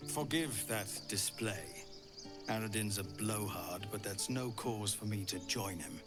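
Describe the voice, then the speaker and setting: Man acting a lowish, dignified fantasy commander. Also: close to an everyday voice. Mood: grave, courteous. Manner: formal, measured, grave. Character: courteous, weary, dignified male commander in fantasy game. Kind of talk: video game voice acting. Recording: loud game music and insect ambience.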